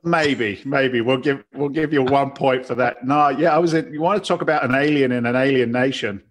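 A man's voice: medium pitch, fairly quick. This is a man in his fifties from England whose accent has drifted toward American. He talks like a brash, joking guest, full of slang and banter. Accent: English mixed with American. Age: 50s. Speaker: podcast guest, real estate investor. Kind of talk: casual interview chat.

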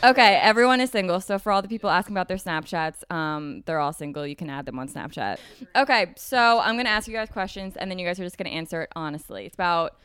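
A woman's voice: on the low side, expressive, fast, fairly bright tone, clear, resonant tone, no echo, studio quality. A woman hosting a casual podcast with friends, chatty and bubbly, using lots of fillers. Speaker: podcast host. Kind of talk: casual chat and read ad. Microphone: shared podcast mic.

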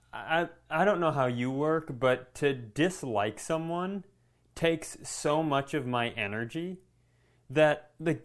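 Slow-talking, reflective man in casual chat. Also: medium pitch. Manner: halting, reflective, informal. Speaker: podcast co-host.

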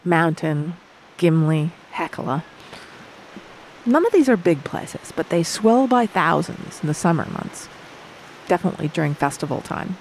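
Low, gravelly woman narrating a travel podcast. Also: animated, measured pace, a little mellow, a little hiss or hum, rough recording. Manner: reflective, wry storytelling. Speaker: podcast host.

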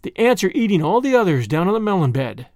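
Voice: mid-range voice, very animated, quick pace, darkish tone, no echo, studio quality. A narrator voicing a fierce, taunting male mongoose fighter in a cocky, challenging way, for a storybook.

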